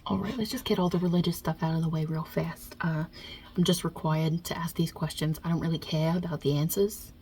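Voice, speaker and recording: A woman's voice: low-pitched, fairly even intonation, brisk, darkish tone, slight background noise. A woman playing a non-binary Italian mob boss God with a put-on New York Italian-American accent, wry and casual with muttered asides, in an ASMR roleplay.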